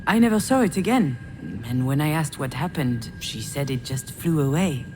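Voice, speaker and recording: Low female voice, visual novel narration, introspective, quietly troubled woman remembering childhood, measured confessional delivery, clear music bed. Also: expressive, low-quality recording. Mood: regretful, reflective. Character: reflective adult woman recalling childhood memories, quietly troubled. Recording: music behind the voice.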